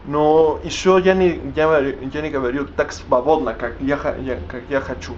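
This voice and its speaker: A young man speaks haltingly, breaking off words and starting them over as he searches for them. His voice sits at an ordinary middle pitch. The recording is a little dull and lo-fi, with a faint hiss underneath. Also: fairly even intonation. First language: Spanish. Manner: halting, many restarts and fillers while searching for words. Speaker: language learner vlogging. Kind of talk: unscripted vlog in a learned language.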